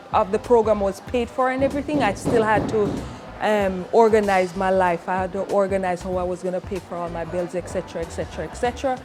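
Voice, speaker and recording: A woman speaks as a student sharing a personal testimonial, at a middling pitch. Music plays clearly behind her voice as she talks about her own experience. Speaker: trade program student giving a testimonial.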